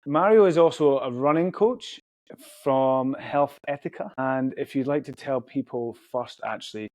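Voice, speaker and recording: Man, podcast host, chatty and jokey, mid-pitched voice, dry close sound. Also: moderate pace, a little mellow, no room sound. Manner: chatty, jokey, with fillers and restarts. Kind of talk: casual interview chat.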